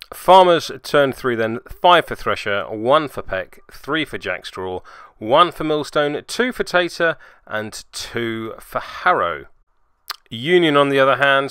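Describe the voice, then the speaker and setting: Male host for tabletop game recap: lively, bright delivery, play-by-play narration with dry sarcasm. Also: mid-range voice, smooth, dry recording. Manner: dryly sarcastic asides.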